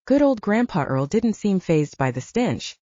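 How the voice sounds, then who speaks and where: A woman's voice: medium pitch, big pitch swings, unhurried, mellow, no room sound, dull audio. A woman reading fiction aloud as a scripted audiobook narration.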